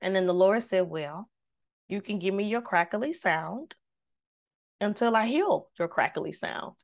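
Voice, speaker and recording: A woman, a singer, telling a personal story in casual conversation, lively and devout, acting out the voices she quotes. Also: mid-range voice, a little slow, dull audio. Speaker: guest.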